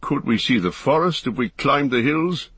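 A man's voice: mid-range voice, lively intonation, normal speed, fairly bright tone, husky, dry recording, voice pushed up. A man voicing a stubborn, weary male dwarf in a fantasy audiobook, blunt and heavy-hearted. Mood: questioning.

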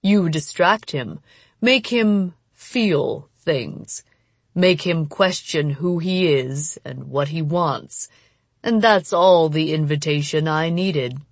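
A woman voicing a cruel, mocking male demon in an audiobook, speaking in a slow, menacing, gloating way. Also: low-pitched, no echo, heavily acted. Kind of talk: dramatic audiobook character voice.

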